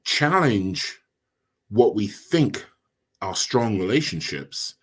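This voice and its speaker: A middle-aged immigrant man hosting a podcast, speaking in a measured, deliberate way. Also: lowish voice, sing-song, a bit bright. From immigrant, came as a child. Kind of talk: casual discussion.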